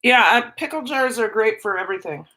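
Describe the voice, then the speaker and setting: A woman's voice: a little high, lively intonation, brisk, bright, forceful tone, rough recording. A woman with a Minnesota accent, chatting casually on a livestream, answering viewers in a rambling, self-interrupting way. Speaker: teacher and livestream host. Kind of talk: casual livestream chat, reading viewer comments.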